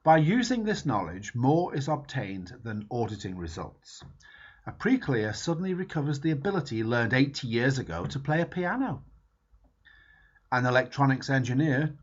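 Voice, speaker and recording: A man reading a book foreword aloud in a measured, emphatic way. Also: medium pitch, husky. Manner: measured, emphatic reading with lively rises and falls. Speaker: reader. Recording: dull lo-fi recording.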